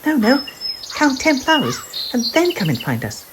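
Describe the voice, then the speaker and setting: A man voicing a small, eager, playful young rabbit in a squeaky, childlike storybook voice. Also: huge pitch swings, moderate pace, noisy background, heavily acted. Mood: bossy, eager.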